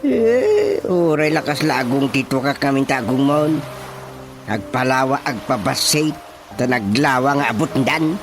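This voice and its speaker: A man playing a grumbling, excitable older village father in a radio comedy drama, exclamatory and scolding, with an Ilocano Filipino accent. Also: medium pitch, unhurried. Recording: radio drama with music underneath.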